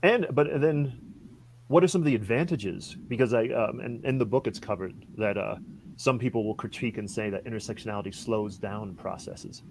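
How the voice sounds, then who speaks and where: Low, gravelly-voiced man moderating. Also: a little fast, slight background noise, rough recording. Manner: reflective, hesitant with fillers. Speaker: discussion facilitator. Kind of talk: online book discussion. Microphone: video call.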